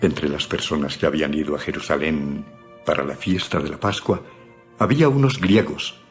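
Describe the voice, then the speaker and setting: A man with a deep voice narrates a dramatized Bible story. He reads from a script, with faint music behind him. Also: moderate pace.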